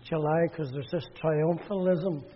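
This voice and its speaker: A husky-voiced man in his sixties from Northern Ireland, with a slightly high voice, answers interview questions in a relaxed way. The recording sounds dull and lo-fi. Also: unhurried. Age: 60s. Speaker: interview guest.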